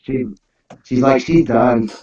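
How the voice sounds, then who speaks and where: A man's voice: medium pitch, slow, warm tone. A man in casual group chat, rambling along in a slangy way. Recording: distant, echoey, dull mic.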